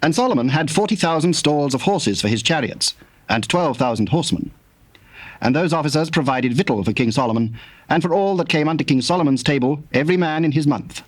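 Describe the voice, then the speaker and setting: A man narrating scripture from a script in a formal, measured manner. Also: on the high side, lively intonation.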